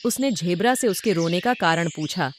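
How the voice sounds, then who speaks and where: A woman's voice: lowish voice, animated, normal speed, background noise. A woman narrator reading a scripted children's cartoon story.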